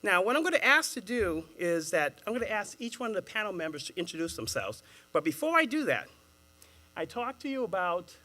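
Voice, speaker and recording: Lively Boston-accented moderator, high voice. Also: male voice, fairly quick. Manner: chatty, animated, laughs at his own jokes. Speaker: panel moderator. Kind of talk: engaging address to a live audience.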